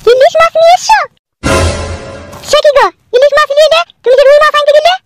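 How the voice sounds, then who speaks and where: A woman's voice: very high-pitched, quick pace, no echo, clean studio sound, soft background music. A woman voicing a chatty, inquisitive middle-aged village wife in a comedy cartoon. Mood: curious.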